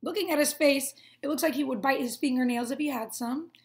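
A woman's voice: high-pitched, a little fast. A woman in her twenties, a teacher reading aloud to children, explaining and prompting them with simple questions. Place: small room at home, indoors.

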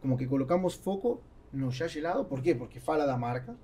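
A man's voice: medium pitch, animated, fast talker, a loud crowd. A man in his forties, a native Spanish speaker with an Argentine accent, talking casually as a podcast guest, searching for words and slipping Spanish into Portuguese. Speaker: guest entrepreneur. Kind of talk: casual podcast interview talk. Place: small padded podcast studio. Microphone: studio microphone on boom arm. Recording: close podcast mic with background noise.

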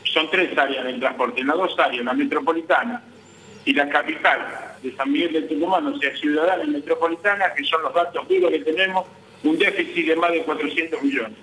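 Argentine male interviewee, high and sharp-edged, insistent spokesperson delivery, roomy call sound. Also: fairly quick, a little hiss or hum. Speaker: guest, transport business association vice president. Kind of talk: live news interview by phone.

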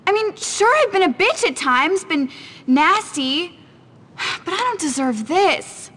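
Upset high-voiced teenage schoolgirl. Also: expressive, slow pace, pushed and bright, heavily acted. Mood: distraught, self-pitying. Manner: self-pitying, dramatic. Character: distraught, insecure popular teenage schoolgirl. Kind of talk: video game cutscene acting. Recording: reverberant hall.

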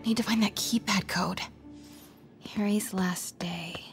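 A woman's voice: mid-range voice, fairly even intonation, slow, creaky. Young female reporter turned amateur spy, game dialogue, determined, murmured and whispery, music behind. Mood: focused. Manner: murmuring to herself, often dropping to whispers. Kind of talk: video game voice acting. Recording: background music under the voice.

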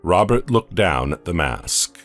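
A man narrating a horror audio comic in a slow, deliberate, dramatic way. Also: low-pitched, very animated, fairly bright tone, faint music behind.

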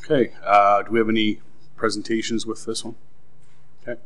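A man's voice: fairly low pitch, level tone, brisk, a bit bright. A man chairing a public committee meeting, making formal procedural announcements at a desk microphone in a council chamber.

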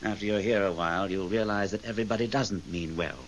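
An actor playing a jaded, sardonic long-dead ghost in a radio drama, urbane, dry and world-weary. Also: male voice, lowish voice, quick pace, close to an everyday voice. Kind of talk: 1970s radio drama acting. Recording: muffled, hissy old radio recording.

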